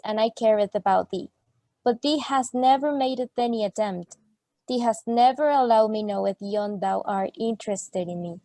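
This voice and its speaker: A woman's voice: fairly high pitch, darkish tone, no room sound. A woman playing an exasperated young student in a radio play, declaiming in mock Shakespearean English. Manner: declamatory, archaic phrasing.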